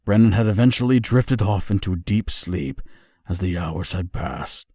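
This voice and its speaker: A man's voice: sits low, a little slow, warm tone, husky, no room sound, clean studio sound. A man reading the narration of a visual novel. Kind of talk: storybook narration.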